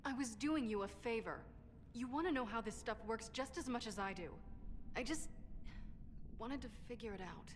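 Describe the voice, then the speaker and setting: Casting: a woman to play a brash, guilt-tinged dwarf tinkerer for a fantasy game, blunt and defensive, higher pitch, echoing space. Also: moderate pace, natural voice. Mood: defensive, earnest. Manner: candid, defensive. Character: brash, stubborn female dwarf inventor with a guilty streak. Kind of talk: video game voice acting. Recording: reverberant hall, faint background noise.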